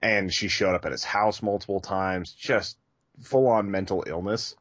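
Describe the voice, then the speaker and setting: Low-voiced man, dry close sound. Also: fairly even intonation, normal speed, a bit bright, no room sound. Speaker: podcast co-host. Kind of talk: casual chat.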